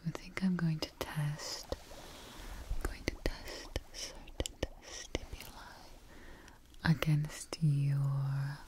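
Woman acting a slow whispering devoted scientist. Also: deep voice, fairly even intonation. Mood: tender, awed. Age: young adult. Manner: slow, breathy, gentle, pausing. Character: devoted, tired woman scientist tending her creation. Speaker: ASMR performer. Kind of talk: soft-spoken whispered ASMR roleplay. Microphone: close ASMR microphone.

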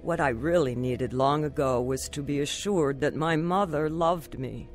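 A woman's voice: low-pitched, unhurried, over music. An actress playing a troubled, anxious young woman telling her life story earnestly in a radio drama. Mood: somber confiding. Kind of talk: radio drama testimony narration and acting.

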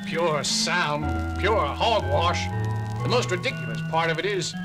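Male actor as sarcastic doctor, slightly high voice, exasperated, 70s record drama with heavy score. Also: a little slow, loud music behind the voice, natural voice. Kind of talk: 1970s audio drama.

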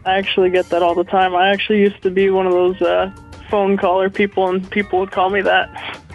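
A man in his twenties calling into a radio show, soft-spoken and laid-back. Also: sits high, monotone, brisk, soft background music. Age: 20s. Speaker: caller. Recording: dull phone-in line.

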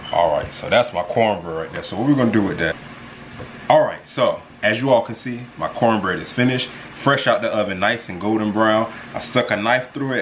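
A young man casually walking viewers through each step of his cooking in a home kitchen, filmed on a handheld camera. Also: fairly low pitch. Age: young adult. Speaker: cooking vlogger. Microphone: handheld camera, close and at arm's length. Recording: home kitchen with frying and stirring noise. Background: food sizzling in a pan.

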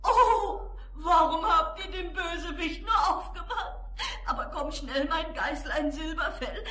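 Mother goat, high voice, slow pace, anxious, tender, dramatic, children's radio play. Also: pushed and bright, heavily acted. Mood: worried, resolute. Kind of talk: 1960s German children's radio play acting.

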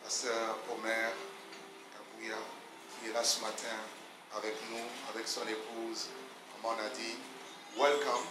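A man's voice: on the low side, little pitch movement, a little slow, pauses often. A man, the host pastor, enthusiastically introducing a guest preacher and his wife on a handheld mic. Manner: warm, enthusiastic introduction with fillers. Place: large church hall, indoors. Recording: echoey church hall, noisy.

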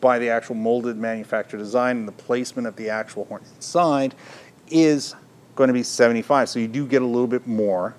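A middle-aged man with a mid-pitched voice talks through a product demonstration. He explains things informally and off the cuff, like a shop presenter speaking to camera. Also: fairly even intonation, brisk. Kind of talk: unscripted product demonstration talk. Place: indoor audio gear shop, at a counter.

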